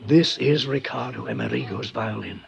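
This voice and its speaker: A man's voice: medium pitch, moderate pace, gravelly, highs cut off. An actor playing a proud, fussy male violin maker, indignant and stammering, in a 1950s radio drama. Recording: noisy old radio transcription.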